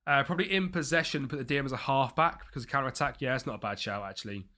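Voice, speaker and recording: A young man with a bright, forceful voice, talking live over a game stream. He reads out chat messages and muses about tactics in a chatty, easygoing way. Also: mid-range voice, rapid-fire. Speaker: streamer playing a football management game.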